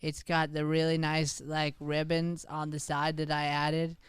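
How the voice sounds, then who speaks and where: A man acts out an earnest, sheepish schoolkid for radio comedy. The voice is clear, slightly high and close in a dry space, with hesitant, innocent questioning. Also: completely flat delivery, measured pace, no room sound, lightly acted. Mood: defensive. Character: earnest, evasive young schoolkid squirming under questioning. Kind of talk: improvised old-time radio comedy acting.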